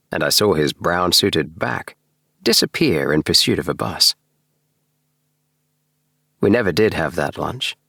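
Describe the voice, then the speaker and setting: A man narrating a bedtime story in a measured, expressive storytelling manner, recorded in a small booth. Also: low voice, gravelly, no room sound, clean studio sound. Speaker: audiobook narrator.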